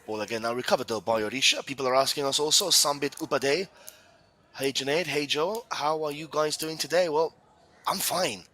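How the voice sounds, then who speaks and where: Chatty football commentator from Gibraltar. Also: male voice, a little high, a little fast, bright, forceful tone. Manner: chatty, reads viewer questions aloud. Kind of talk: live play-by-play commentary.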